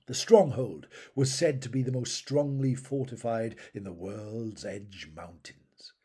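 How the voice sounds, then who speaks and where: Slow, husky male fantasy storyteller. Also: medium pitch, warm tone. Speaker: narrator reading fantasy fiction aloud. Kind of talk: dramatic scripted storytelling narration.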